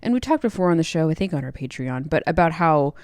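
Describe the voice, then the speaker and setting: A woman's voice: low-pitched, big pitch swings, fast talker, a little mellow, dry recording, studio quality. A woman co-hosting a podcast in casual chat, informal and agreeable, thinking aloud as she goes. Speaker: podcast co-host.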